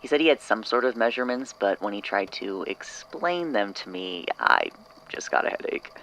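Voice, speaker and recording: Bemused office colleague man acting, audio drama; gravelly fry, casual halting delivery, faint noise. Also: lowish voice, lively intonation, fairly bright tone, rough recording. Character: casual, slightly baffled colleague relaying a message.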